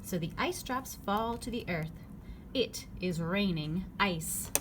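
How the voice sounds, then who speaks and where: A woman reading a children's picture book aloud, phrasing it clearly and simply for young listeners. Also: mid-range voice, expressive, slow pace. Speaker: read-aloud narrator. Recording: clearly noisy background ambience.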